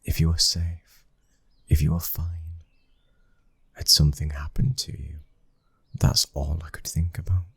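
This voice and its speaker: Guy acting as brooding british mafia boyfriend, super low breathy voice, intense and hushed, asmr rp, dry close sound. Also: level tone, unhurried, pauses often, no echo, full character voice. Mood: anxious, confessional. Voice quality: husky, breathy, often near whisper. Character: brooding young mafia boss's son, protective, obsessive lover. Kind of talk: intimate ASMR boyfriend roleplay.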